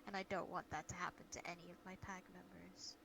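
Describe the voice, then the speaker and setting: A woman's voice: fairly low pitch, flat delivery, fast, gravelly. A woman playing a caring, cautious guild leader in an improvised fantasy role-play, speaking earnestly and hesitantly over online voice chat. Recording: noisy online voice-chat audio.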